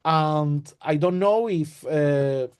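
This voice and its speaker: A man's voice: on the high side, extremely slow, darkish tone, smooth. A man hosting an online session over a video call, talking casually with many fillers and restarts.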